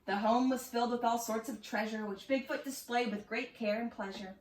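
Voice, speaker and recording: A woman's voice: a little high, fairly even intonation, brisk, a little hiss or hum. A woman expressively reading a rhyming children's story aloud. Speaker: storybook reader. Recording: echoey room, distant mic.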